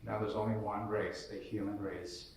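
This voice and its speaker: A man's voice: lowish voice, level tone, moderate pace, slight background noise. A man from Colombia speaking as a panelist, earnest and rambling with many fillers. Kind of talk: casual panel discussion. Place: large echoey room, live event. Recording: echoey room from far off.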